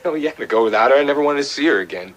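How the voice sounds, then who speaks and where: An actor playing an embittered American fiance in his twenties, halting and defensive under police questioning in an audio drama. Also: mid-range voice, expressive, close to an everyday voice. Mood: bitter, anguished.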